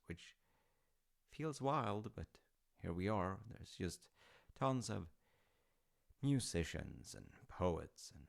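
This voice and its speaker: Easygoing storyteller dad male voice actor, audio roleplay: unhurried, creaky, wry and musing. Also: sits low, exaggerated voice. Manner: wry, understated, musing with dry humour. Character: easygoing, artsy dad telling Norse myths to his grown child. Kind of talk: casual, intimate storytelling roleplay.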